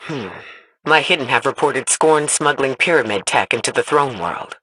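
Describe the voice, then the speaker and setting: A composed video game commander, with a medium-pitched voice and formal, measured phrasing. The briefing is delivered over clearly audible noise. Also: male voice, lively intonation, bright, forceful tone. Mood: grave. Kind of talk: scripted video game voice acting. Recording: clearly noisy game audio.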